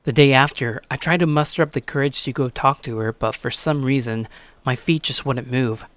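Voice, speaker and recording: A man playing a troubled, earnest high school boy who narrates his own story in a sincere, brooding way. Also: normal speed, a bit bright, no room sound, lightly acted. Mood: troubled, subdued. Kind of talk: scripted dramatic narration and character dialogue.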